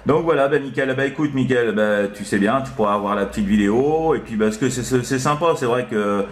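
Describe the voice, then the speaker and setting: A man's voice: medium pitch, a little fast. A man having a casual CB radio chat as an operator, rambling and hesitant, repeating little filler tags. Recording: echoey room.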